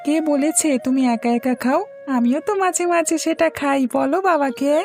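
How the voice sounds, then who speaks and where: A woman's voice: high voice, moderate pace, vocal fry, a little mellow. A woman voicing an eager, chatty, pouty young village boy in a cartoon story, childlike and argumentative. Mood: pleading. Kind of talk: Bengali cartoon story acting. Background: music.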